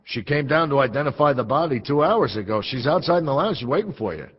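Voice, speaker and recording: A dry blunt coroner type in a radio play, lively intonation, big echoey hall. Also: male voice, medium pitch, fast talker, slightly rough. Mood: dry, clinical. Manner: blunt, clinical, wry. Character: matter-of-fact, dry male morgue examiner. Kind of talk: audio drama acting. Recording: dull, long hall reverb.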